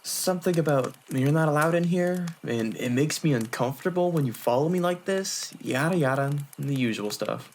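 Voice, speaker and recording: A man voicing a smooth-talking, unrepentant flirt with courtly, self-satisfied manners in an anime-style audio drama. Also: fairly high pitch, lively intonation, normal speed, hardly any pauses, a little hiss or hum. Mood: suave.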